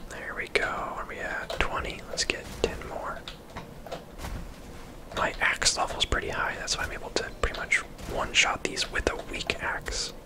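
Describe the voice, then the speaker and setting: A person whispering casual, chatty game commentary in an ASMR style, rambling off into asides, close to the microphone. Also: moderate pace. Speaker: video game streamer and host.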